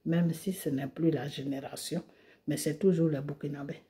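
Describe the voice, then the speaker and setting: A woman's voice: low-pitched, fairly even intonation, moderate pace, warm tone. A woman from Côte d'Ivoire, a video creator, delivering an indignant monologue to the camera and hammering home rhetorical questions. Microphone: phone or camera mic.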